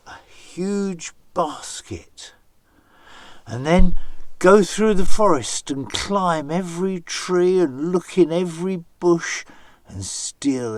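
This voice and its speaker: A man's voice: fairly high pitch, dry recording, pitched above the performer's own voice. A man voicing a cunning male spider trickster in a folk tale, with a wheedling, fawning, theatrical manner. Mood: scheming. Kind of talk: storyteller's character voice.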